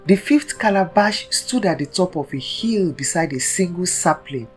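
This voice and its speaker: A woman storyteller narrating a scripted folktale in a dramatic, deliberate manner. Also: lowish voice, animated, soft background music.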